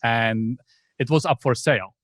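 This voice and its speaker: A man in his forties with a Czech accent, telling his story in a relaxed, casual way as a podcast guest. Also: medium pitch, measured pace, no room sound, studio quality. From Czech Republic. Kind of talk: conversational storytelling.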